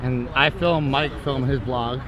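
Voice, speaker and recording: A man's voice: medium pitch, steady tone, a little slow, rough recording. A man filming a vlog and chatting casually from behind a handheld camera. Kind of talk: casual chat. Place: busy expo hall and outdoor city street. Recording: crowd and music, camera audio.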